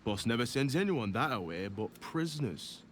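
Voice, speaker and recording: Actor as blunt, wary submersible pilot. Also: male voice, mid-range voice, big pitch swings, normal speed, close to an everyday voice. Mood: wary, grudging. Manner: blunt, slangy, grudging. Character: wary, rough-talking submersible pilot, reluctant to help. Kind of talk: video game character acting.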